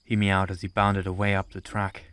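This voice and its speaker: A man's voice: sits low, completely flat delivery, normal speed, a bit bright, smooth, dry recording. A man narrating an audiobook story from a script.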